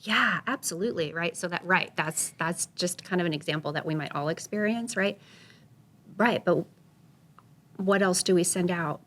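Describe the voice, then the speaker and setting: Teacher lady chatting about work, lowish voice, friendly back and forth. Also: normal speed, a bit bright. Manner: chatty, tags lines with "right?". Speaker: accessibility guest speaker. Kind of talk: casual professional discussion.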